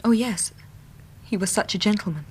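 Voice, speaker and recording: A woman's voice: mid-range voice, moderate pace, breathy edge. An actress playing an earnest, trusting young Victorian lady in a radio drama, speaking politely and properly. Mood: wistful, earnest. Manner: polite, proper, eager to please. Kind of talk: period radio drama acting. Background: music.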